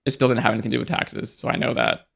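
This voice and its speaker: A young man in casual podcast conversation, talking in a relaxed, rambling way with many fillers and restarts. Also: lowish voice, very fast, vocal fry.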